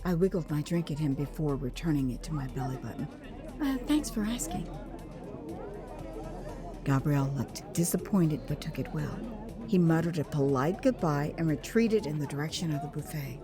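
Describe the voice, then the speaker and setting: An American woman narrating her own dramatized memoir in a theatrical, expressive way, savoring her phrases. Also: sits low, fairly quick, music in the background, a crowd in the background. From Texas, United States. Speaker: author and narrator.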